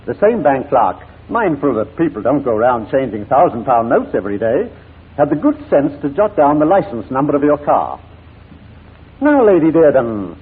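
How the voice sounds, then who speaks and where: An actor playing a relentless, theatrical defense barrister who questions formally and insistently in a 1940s radio courtroom drama. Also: male voice, fairly high pitch, breathy edge, full character voice. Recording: muffled, narrow-band 1940s radio broadcast.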